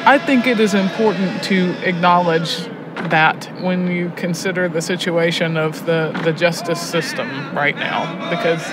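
A woman talks candidly and reflectively, thoughtful and deliberate, pausing as she goes. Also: lowish voice, steady tone. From Alabama, United States. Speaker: prison poetry teacher and program head. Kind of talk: candid, reflective interview talk while driving. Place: inside a moving car. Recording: clear vehicle and road noise.

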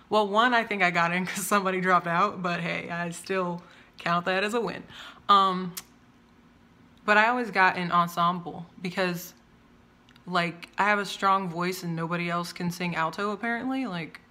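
A loud young woman talks straight into a phone camera at arm's length, rambling from one thing to the next and cutting herself off mid-thought. Her voice is low-pitched for a woman yet bright and forceful, and it projects easily. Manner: chatty, wandering, self-interrupting. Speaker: vlogger. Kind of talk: casual rambling rant. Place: indoors by large windows, home room.

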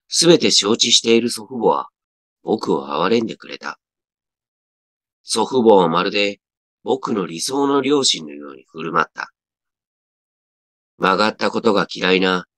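A man's voice: on the low side, a bit bright, dry recording. A man reading a scripted first-person story as a dramatic narrator. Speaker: story reader. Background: background music.

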